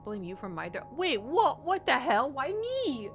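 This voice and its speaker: A woman's voice: high-pitched, huge pitch swings, measured pace. A woman from the United States, a gaming streamer rambling in casual chat and answering her chat. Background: background music.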